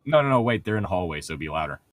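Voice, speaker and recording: A man's voice: low-pitched, very animated, very fast. A man co-hosting a read-aloud podcast, mixing book narration with casual, irreverent joking. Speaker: podcast co-host reading a novel aloud with commentary.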